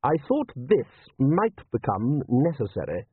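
A man's voice: medium pitch, wildly swooping pitch, slightly rough, dry recording, pitched above the performer's own voice, exaggerated voice. Man voicing a wily, courteous ruler, thin phone-like sound. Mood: smooth, courteous. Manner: measured, urbane, understated, drily polite. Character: calm, wily, courteous male city ruler. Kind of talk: audiobook character voice. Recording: narrow-band, phone-like sound.

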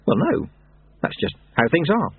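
A man voicing a quick-witted young apprentice in an audiobook story, respectful, plain-spoken and matter-of-fact. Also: a little high, wildly swooping pitch, slightly rough, no room sound, narrow, tinny audio, voice pushed up, heavily acted.

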